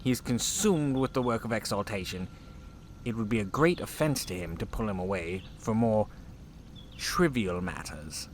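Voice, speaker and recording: A man performs a solemn, deferential servant of a lord in a space adventure. His voice sits a little low and his phrasing is stiffly formal. Faint noise runs underneath. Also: lively intonation, moderate pace, natural voice. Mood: solemn, guarded. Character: stiff, reverent, guarded male official of a High Lord. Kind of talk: sci-fi audio drama acting.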